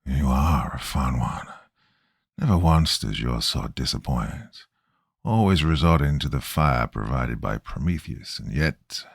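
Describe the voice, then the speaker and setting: A man voicing a sinister stranger with a raspy, half-whispered wheeze, talking slowly and threateningly. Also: rumbling low, animated, dry recording, studio quality, voice pushed down, full character voice. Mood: menacing. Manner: slow, sly and threatening.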